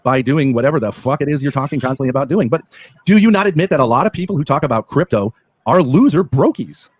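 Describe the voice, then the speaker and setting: A man's voice: mid-range voice, expressive, fast, slightly rough. A man in a heated online panel argument, blunt and sarcastic, sounding through a remote call mic. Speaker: online commentator in a panel debate.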